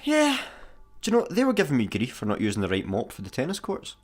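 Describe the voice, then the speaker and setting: An actor with a Scottish accent playing a daft, easygoing janitor in a sci-fi comedy radio play, casual and obtusely deadpan. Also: male voice, medium pitch, quick pace. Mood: casual, flippant. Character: daft, easygoing, literal-minded male slacker janitor.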